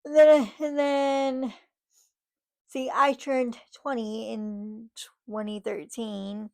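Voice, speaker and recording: Woman, 30s, vlogger, casual rambling monologue, slightly high voice, unhurried delivery. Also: flat delivery, darkish tone. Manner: rambling, many fillers and restarts.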